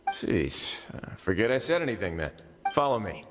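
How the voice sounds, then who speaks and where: A man playing a composed duke in a video game, with a dry, unhurried, matter-of-fact manner. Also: lowish voice, big pitch swings, background noise. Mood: wry, relaxed. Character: composed, wry duke running an underwater prison fortress. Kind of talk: video game character acting. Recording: game music playing behind.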